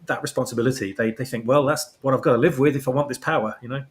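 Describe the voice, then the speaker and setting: A man's voice: mid-range voice, fairly even intonation, rapid-fire. A man giving conversational, reflective commentary as a panelist, explaining his ideas as he goes. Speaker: podcast guest panelist.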